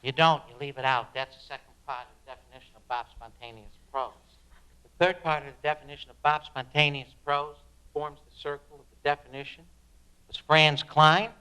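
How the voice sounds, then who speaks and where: A man's voice: on the high side, steady tone, brisk, sharp tone, clear, resonant tone, rough recording. A man playing a fervent beat writer, delivering a dramatic, emphatic spoken-word monologue about his craft. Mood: reflective. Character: fervent beat-generation male writer reminiscing and preaching on writing.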